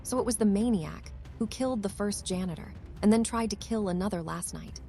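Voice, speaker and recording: A gossiping school pupil played by a woman, with a soft, mellow tone and middling pitch. Faint music runs behind the comic drama. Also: a little fast, vocal fry, lightly acted.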